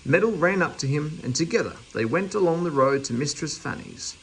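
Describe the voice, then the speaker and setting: A man's voice: a little high, expressive, a little fast, slight background noise, poor audio. A man reading a children's storybook aloud as a narrator. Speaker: storybook reader.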